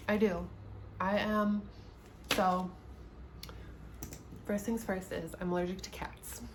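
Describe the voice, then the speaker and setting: A woman's voice: medium pitch, noisy background. A woman in her late twenties chatting casually in a sit-down get-to-know-me vlog, laughing often and restarting her sentences. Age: 20s. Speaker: YouTuber. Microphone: camera mic, seated at home.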